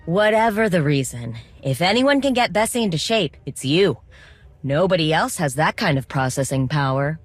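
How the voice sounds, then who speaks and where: An actress playing a determined, wry droid mechanic in a video game, speaking informally with coaxing, teasing encouragement. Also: female voice, deep voice, expressive, moderate pace, no room sound.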